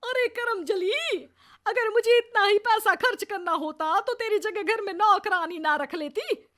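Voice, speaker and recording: A woman's voice: very high voice, measured pace, a bit bright, pitched above the performer's own voice. A woman voicing a cruel, bossy middle-aged mother-in-law who scolds and taunts in a cartoon moral story. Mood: furious, taunting.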